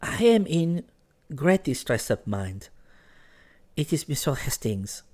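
Storybook part: young Frenchwoman, played by a man, lifted pitch, very lively melody, sharp-tongued and teasing. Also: slow. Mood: pleading. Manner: pointed questioning and scolding, sprinkled with French exclamations.

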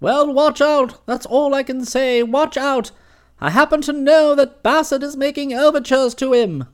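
男性が演じる 大声で威圧的な年配の叔母. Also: male voice, fairly even intonation, a little slow. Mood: warning. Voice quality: booming, blustering. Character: booming, imperious older aunt.

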